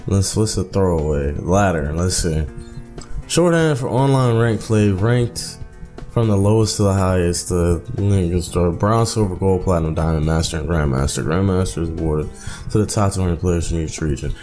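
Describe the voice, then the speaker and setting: A man's voice: low voice, normal speed, dark tone. A man hosting a casual let's play, reading visual novel text aloud and talking in an informal, slangy way. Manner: informal, slangy asides between read-out passages. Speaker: gaming video host. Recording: music playing behind the voice. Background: music.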